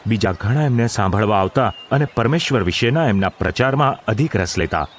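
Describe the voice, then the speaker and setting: A man's voice: on the low side, animated, brisk, a little hiss or hum. A man narrating a scripted audio drama in a formal, literary storytelling manner. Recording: music under the voice.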